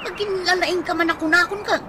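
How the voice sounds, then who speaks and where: A woman with an Ilocano accent playing a bossy, nagging wife with a sharp tongue in a radio comedy drama. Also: very high-pitched, steady tone, brisk. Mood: scornful. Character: bossy, scolding wife stirring up an inheritance feud. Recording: noisy ambience with chirping birds.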